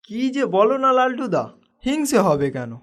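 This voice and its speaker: Man voicing a high-voiced humble young fisherman. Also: sing-song, measured pace, fairly bright tone, exaggerated voice. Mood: earnest, protesting. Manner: earnest, modest. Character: humble, hardworking poor young fisherman, a husband. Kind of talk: cartoon dialogue acting.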